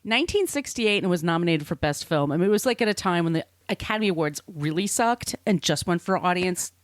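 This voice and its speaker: A woman's voice: on the low side, animated, fast talker, dry recording. A woman hosting a podcast, reading scripted narration with casual, irreverent asides. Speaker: podcast host.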